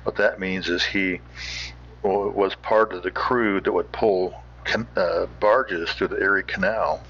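A man hosting with informal, rambling commentary in a folksy, halting manner. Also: low-pitched, fairly even intonation, creaky, fairly bright tone, slightly rough. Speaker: podcast host. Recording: dull, muffled recording.

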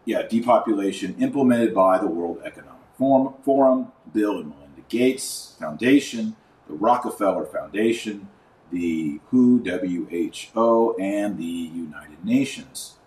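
A man with a fairly low voice delivers religious podcast commentary. He is emphatic and indignant, leaning on rhetorical questions and sarcastic asides. The sound is clearly roomy, as if he sits a little way from the microphone. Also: lively intonation, measured pace, a little mellow, far from the mic.

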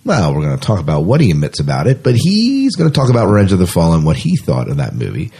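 A man co-hosting a podcast in casual chat, with jokey banter and words repeated in quick agreement. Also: sits low, huge pitch swings, dark tone, breathy edge. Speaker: podcast co-host. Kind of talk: casual chat, running through the show's lineup.

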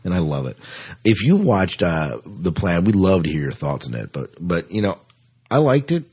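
A man's voice: sits low, big pitch swings, moderate pace, a little mellow, slightly rough. A man hosting a podcast, chatting casually and enthusiastically as a science fiction fan, often repeating himself for emphasis. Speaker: podcast host. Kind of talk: casual fan discussion of sci-fi TV.

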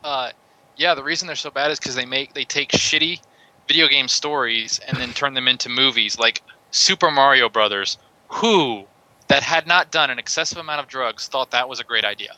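A man on a podcast panel in a casual debate, ranting in an animated, mildly profane way. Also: mid-range voice, normal speed, rough recording.

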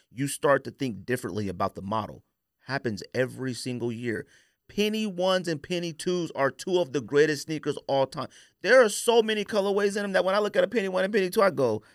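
A man's voice: mid-range voice, a bit bright, no echo, studio quality. An American man in his forties hosting a podcast, debating sneakers casually and emphatically, repeating phrases for stress. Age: 40s. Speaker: podcast host.

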